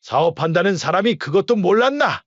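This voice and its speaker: A man's voice: high-pitched, very animated, brisk, fairly bright tone, dry recording, dull audio, exaggerated voice. A man voicing a cold, stern middle-aged husband and father-in-law who speaks curtly and with command.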